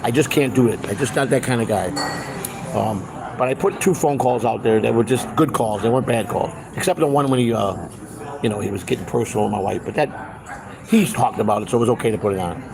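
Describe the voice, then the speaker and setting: A man's voice: mid-range voice, fairly quick, vocal fry, crowd noise, rough recording. A man in his fifties hosting a casual, rambling live stream chat, often repeating himself for emphasis. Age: 50s.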